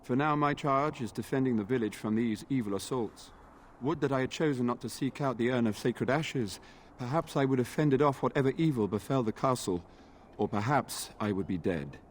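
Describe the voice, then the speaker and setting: An actor playing an earnest, dutiful knight in a fantasy video game, speaking formally and courteously. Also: male voice, medium pitch, a little hiss or hum, lightly acted. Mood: courteous, respectful, then earnest, resigned. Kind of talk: video game dialogue acting.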